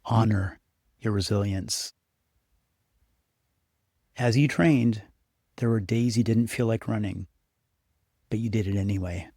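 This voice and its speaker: A man narrating a scripted guided meditation as the guide, speaking in an unhurried, encouraging, instructive way. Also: on the low side, dark tone, dry recording, clean studio sound. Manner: unhurried, instructive, encouraging second-person address.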